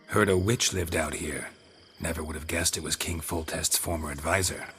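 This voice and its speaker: An actor playing a stoic, world-weary monster hunter in a fantasy video game, with terse, dry, deadpan delivery. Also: male voice, a bass voice, normal speed, a little hiss or hum. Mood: dry, wry. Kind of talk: dramatic video game dialogue.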